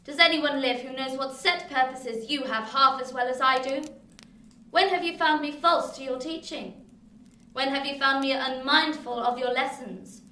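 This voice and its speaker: Radio play cold, proud young lady: high bright voice, formal and haughty, heard in an echoing room. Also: steady tone, moderate pace. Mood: indignant. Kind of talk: theatrical radio play acting. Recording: echoing room, distant from mic.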